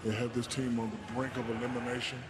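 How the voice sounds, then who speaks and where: A man's voice: mid-range voice, level tone, quick pace, noisy background. A man working as a sports analyst in an unscripted panel debate, emphatic and teasing.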